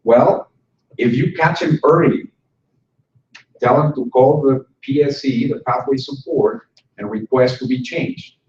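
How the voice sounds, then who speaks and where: A man's voice: medium pitch, measured pace, a little hiss or hum. Joking male presenter in an echoey room. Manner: lively, joking, with brief Spanish asides. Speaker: workshop presenter for instructors. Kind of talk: conference presentation. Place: conference room. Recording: distant, dull, roomy conference recording.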